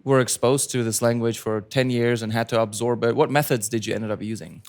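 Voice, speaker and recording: A man hosting an interview, a native Farsi speaker with a mid-range voice. He asks easygoing questions and drops short, relaxed affirmations between answers. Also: mid-range voice, brisk. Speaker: interviewer host.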